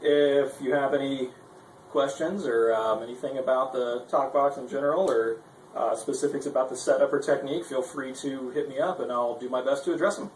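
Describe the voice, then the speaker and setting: A man's voice: medium pitch, fairly even intonation, a little fast. A man giving a casual, informal instructional demo, speaking hesitantly and with some self-deprecation. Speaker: guitarist giving a gear demonstration. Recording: roomy, distant mic with background noise.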